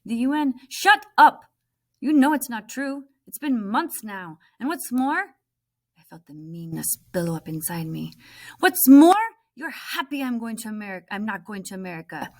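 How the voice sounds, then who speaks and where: A woman's voice: a little high, big pitch swings, moderate pace, voice pushed up. A woman voicing a bitter, frustrated refugee boy from a storybook, with an insistent, impatient manner. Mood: angry, mean.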